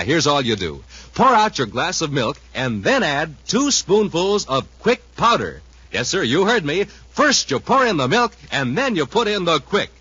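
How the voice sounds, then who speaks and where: Bright, animated vintage radio announcer man. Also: fairly high pitch, breathy edge. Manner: punchy, exuberant salesman delivery. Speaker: announcer and narrator. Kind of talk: 1950s radio announcing and advertising pitch. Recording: muffled, roomy 1950s radio recording.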